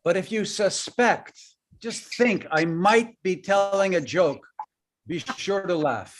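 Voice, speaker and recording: High-pitched man speaking in short phrases. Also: expressive. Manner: short phrases paused for translation, light joking. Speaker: guest speaker. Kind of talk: casual talk through an interpreter.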